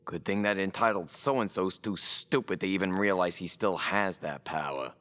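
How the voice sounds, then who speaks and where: A man's voice: low-pitched, normal speed, a bit bright. A man playing a sarcastic, exasperated adventurer sidekick with a wry delivery in a fantasy audio drama. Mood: sardonic. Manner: wry, sardonic.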